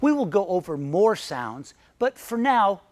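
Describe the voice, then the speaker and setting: A man from Michigan with a Midwestern American accent, narrating a scripted pronunciation lesson and saying example words slowly and clearly. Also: sits high, sing-song. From Michigan, United States. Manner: slow, careful, clearly enunciated word examples. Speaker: narrator and English pronunciation teacher.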